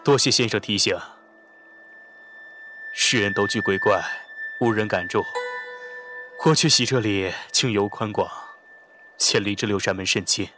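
Actor as gentle young constable, lowish voice, music under it. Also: male voice, measured pace, long pauses, lightly acted. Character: young male constable, calm and devoted. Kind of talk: Chinese audio drama acting.

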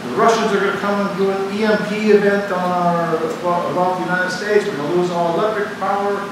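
An older man with a high voice delivers a dramatic sermon, acting out imagined exchanges and urging his listeners on. He is recorded from a distance in a church with a long, ringing echo and clear background noise. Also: fairly even intonation, brisk. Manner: dramatic, acts out imagined dialogue, rises to fervent exhortation. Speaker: bishop preaching. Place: church sanctuary before the altar. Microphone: distant camera mic.

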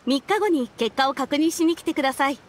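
Casting: young female clerk for an anime game, high voice, formal and very courteous woman performer. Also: fairly quick, slight background noise, lightly acted. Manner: very polite, businesslike.

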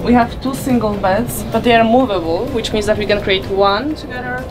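A young woman presenting a walkthrough tour, listing features item by item in a measured way. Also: a little high. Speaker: yacht company representative giving a tour. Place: inside a catamaran's saloon and cabins at a boat show. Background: music.

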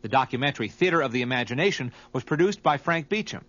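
Male narrator, animated intonation, scripted documentary style, slightly muffled recording. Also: mid-range voice, fast. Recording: dull, muffled audio.

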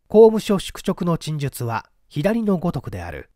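A male narrator reads a mystery story in a lively, slightly high voice. His pitch moves widely, and the recording is close and dry. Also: moderate pace, dry recording. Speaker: audiobook narrator.

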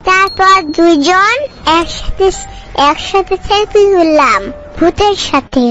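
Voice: very high voice, takes it slowly, bright, forceful tone, full character voice. A cartoon voice of a bossy little girl, self-assuredly telling absurd tall tales in a childish, scolding manner. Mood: earnest, dramatic. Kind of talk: comic cartoon dialogue. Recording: dull lo-fi audio, music behind.

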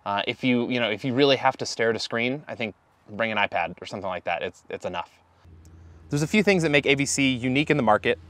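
A man's voice: medium pitch, quick pace, fairly bright tone, no echo. A man in his thirties, a van company founder, talking casually to camera in an interview with easy fillers. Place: inside a camper van and outdoors by the van.

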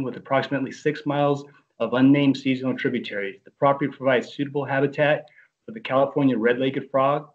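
A man on staff presenting a project briefing at a board meeting, reading formally from a script over a video call. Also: medium pitch. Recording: dull lo-fi video call audio.

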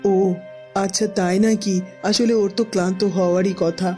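A woman's voice: mid-range voice, fairly even intonation, normal speed, darkish tone, close to an everyday voice. A woman voicing a commanding, worried queen mother in a fairy-tale cartoon. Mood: concerned. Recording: music behind the voice.

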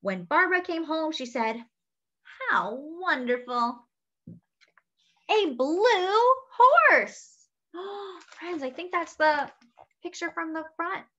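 A young woman reading a picture book aloud to children in an animated, sing-song way, adding small asides to her listeners. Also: extremely high pitch, takes it slowly. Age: young adult. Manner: animated, sing-song storytelling with expressive character lines. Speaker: children's story reader. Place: small indoor room at home. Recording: dull lo-fi webcam sound.

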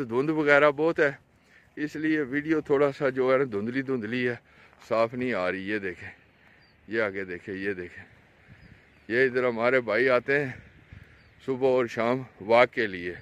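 A man's voice: fairly bright tone, muffled recording. A man with a Pakistani accent gives casual, repetitive vlog commentary as a fishing vlogger, pointing things out to viewers into a handheld phone outdoors. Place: outdoors on an open road along a dam. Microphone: handheld phone camera, speaker behind it. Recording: noisy outdoor phone video, ambient rumble.

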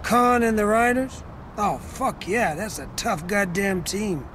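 An actor playing a boisterous American war-veteran mercenary captain in a video game, talking like a profane football coach. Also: male voice, high voice, takes it slowly, fairly bright tone, slight background noise. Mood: gruffly fired up. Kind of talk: video game cutscene acting.

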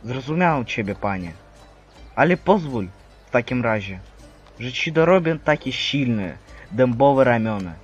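A man's voice: medium pitch, animated, unhurried, slightly rough, soft background music. A man playing a humble, awed believer in a religious drama, earnest and theatrical, on a slightly dull recording. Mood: humble, resolute. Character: humble, awed believer eager to help Christ. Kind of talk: dramatic religious stage-play acting.

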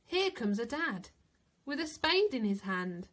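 Woman, storybook narration, bright tone, lively swoops in pitch, dramatic teacherly delivery. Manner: slow, dramatic, deliberate phrasing. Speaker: teacher reading a picture book aloud. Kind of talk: expressive children's storybook narration.

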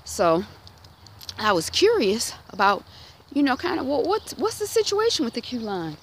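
A woman's voice: on the high side, huge pitch swings, normal speed. A woman in her thirties talks casually into a phone held at arm's length while walking down a residential street, musing aloud with many fillers and restarts. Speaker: vlogger. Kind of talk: casual walk-and-talk monologue. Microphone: phone camera at arm's length. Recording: outdoor street noise behind.

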